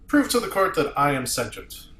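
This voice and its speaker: A man reading the part of a commanding starship captain in a table read, arguing deliberately and rhetorically as a defence advocate. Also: on the high side, sing-song, fairly bright tone. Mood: insistent. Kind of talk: amateur script table read. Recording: roomy echo with clear background noise.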